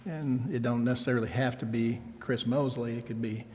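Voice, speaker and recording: Guy on city council talking kinda folksy, hedges a lot, not much pitch movement, big echoey room. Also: fairly even intonation, darkish tone. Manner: halting, folksy, hedging. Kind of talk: informal remarks at a public meeting. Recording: echoey council chamber, long reverb.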